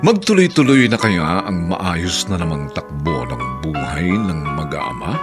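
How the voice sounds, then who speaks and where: A man narrating and announcing a scripted radio drama in a measured, theatrical manner. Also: low-pitched, expressive. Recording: music behind the voice.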